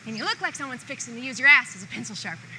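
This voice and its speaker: A woman's voice: a little high, lively intonation, rapid-fire, sharp tone, full character voice. An actress playing a teasing, folksy small-town woman in screen banter. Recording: noisy outdoor location sound.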